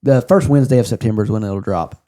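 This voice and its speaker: Male podcast host, soft mellow tone, relaxed casual chat. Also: on the low side, fast.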